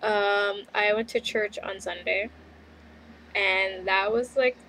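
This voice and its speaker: Slow, gravelly-voiced young woman vlogging. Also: medium pitch, steady tone, sharp tone, a little hiss or hum, poor audio. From Canada. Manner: rambling, many fillers and laughs. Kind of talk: casual video diary.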